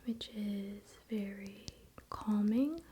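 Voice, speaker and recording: A woman whispering breathily and softly in an unhurried, casual, chatty ASMR style, close to the microphone. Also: mid-range voice, slight background noise. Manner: unhurried, soft-spoken, informal. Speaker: ASMR creator and crystal seller.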